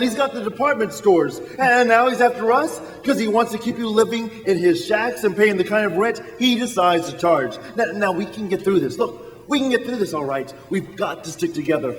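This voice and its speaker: A man playing an earnest young small-town loan man in a staged radio drama, stammering and repeating himself as he pleads. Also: sits high. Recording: echoey hall, music and audience behind.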